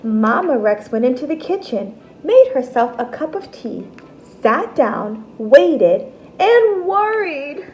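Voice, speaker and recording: A woman in her thirties reading a picture book aloud to children like a teacher, expressive and deliberate. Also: high voice, slight background noise, low-quality recording. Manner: animated, deliberate, explains terms simply. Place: small decorated room at home, indoors.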